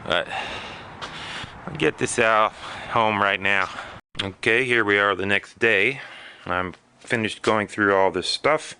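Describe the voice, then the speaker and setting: Slow-talking man with a bright, forceful voice. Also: fairly low pitch, clear, resonant tone. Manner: plainspoken, matter-of-fact item listing with pauses. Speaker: vlogger showing storage auction finds. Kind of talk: casual unscripted narration to camera.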